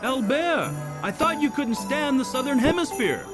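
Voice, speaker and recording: An actress playing a teasing fellow reporter in video game cutscene dialogue. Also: female voice, sits high, expressive, moderate pace. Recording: music with jingling bells behind.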